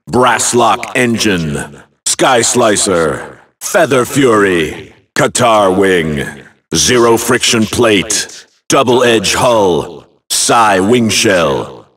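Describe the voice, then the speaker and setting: Slow, low-voiced dramatic game announcer. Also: male voice, a bit bright, far from the mic, exaggerated voice. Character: booming, dramatic male arena announcer. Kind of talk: dramatic video game announcer calling out names.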